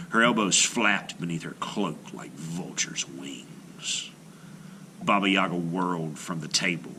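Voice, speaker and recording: A man reading a children's folktale aloud as scripted narration, with dramatic delivery and hushed phrase endings. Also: deep voice, slight background noise, poor audio. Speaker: storyteller, children's read-aloud host. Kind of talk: expressive scripted storybook narration.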